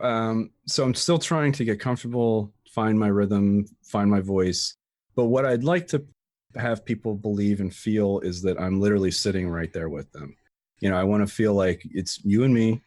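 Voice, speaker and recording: A man's voice: lowish voice, moderate pace, darkish tone, no room sound, clean studio sound. A man talking casually as an interview guest, rambling with frequent restarts and fillers. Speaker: guest, tutorial creator.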